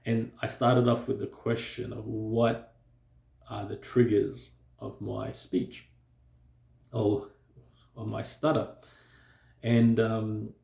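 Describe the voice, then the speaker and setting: A man with a stutter speaks slowly to camera, pausing and restarting often. His low voice is gravelly and mellow. The sound bounces around an echoey room. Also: completely flat delivery. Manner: slow and hesitant, with frequent fillers and restarts. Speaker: vlogger. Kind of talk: reflective personal talk to camera.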